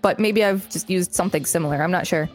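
A woman's voice: fairly low pitch, fast, soft background music. A woman co-hosting a podcast, reading an article aloud and adding informal, conversational comments. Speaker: podcast co-host.

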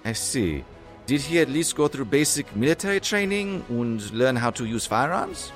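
An actor playing a pompous, sarcastic German military villain with a theatrical German accent in a comedy. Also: male voice, mid-range voice, big pitch swings, moderate pace, faint music behind, lightly acted. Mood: dry, exasperated. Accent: mock German. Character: pompous, impatient German military villain, childishly vain, secretly soft.

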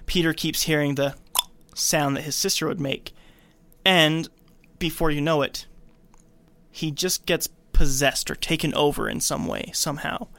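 Male podcast host, higher-than-average voice, dry sound, casual storyteller narrating a film recap. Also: a little slow, a bit bright, no echo.

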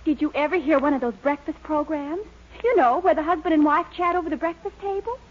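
An actress playing a supportive, scheming young sweetheart who encourages and coaxes, in 1940s radio sitcom style. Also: female voice, high-pitched, a little fast, close to an everyday voice. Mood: encouraging. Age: young adult. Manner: encouraging, coaxing. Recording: muffled, faintly hissy 1940s radio recording.

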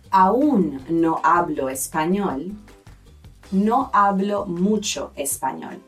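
A woman narrating a scripted language lesson as the teacher, with a clear, deliberate teaching delivery. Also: lowish voice, recorded from a distance.

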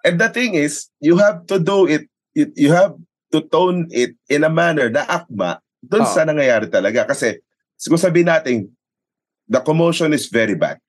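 A man's voice: a little high, animated, normal speed. A man with a Filipino accent chatting casually and explaining things emphatically, as a sports announcer being interviewed. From Philippines. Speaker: sports announcer and radio commentator, interview guest. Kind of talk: casual podcast chat, code-switching.

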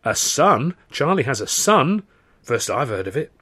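A man voicing an excitable working-class storyteller spinning a yarn, with a British accent and a colloquial, dramatic delivery. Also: mid-range voice, measured pace, breathy edge, pitched above the performer's own voice, exaggerated voice. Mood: astonished.

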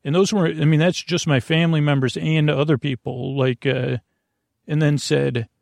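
A man with a very creaky, gravelly voice hosts a sleep podcast. He talks casually and wanders from one aside to the next, often hesitating mid-thought. The sound is dry and close, with no room around it. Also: a little high, fairly even intonation, normal speed, darkish tone, no echo. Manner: meandering, hesitant, trailing digressions. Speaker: podcast host. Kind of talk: rambling bedtime sleep-podcast intro, casual monologue.

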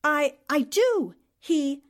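A woman's voice: sits high, lively intonation, exaggerated voice. A woman playing an anxious, defensive countess working as an impostor spy, in theatrical 1940s radio drama style. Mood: flustered. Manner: halting, pleading, theatrical.

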